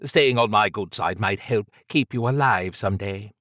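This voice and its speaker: A man's voice: on the low side, normal speed, fairly bright tone, no echo, clean studio sound. A man voicing a tough, sardonic woman government agent, pointed and needling, in an audiobook. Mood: coolly threatening.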